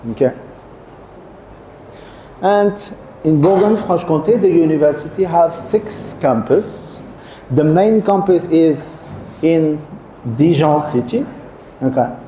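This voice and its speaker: A man's voice: fairly high pitch, mellow. A middle-aged man, giving a seminar lecture to students as a guest professor, often stopping to check in with the audience and restarting phrases. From Algeria. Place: lecture hall. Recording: echoey lecture hall with faint noise.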